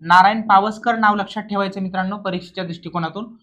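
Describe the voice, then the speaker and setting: A man's voice: sits high, steady tone, fast talker, fairly bright tone, dull audio. Maharashtrian man teaching, emphatic and repetitive. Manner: repeats key points, poses questions to students and answers them. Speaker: history teacher for competitive exam coaching. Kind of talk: classroom lecture explaining exam questions.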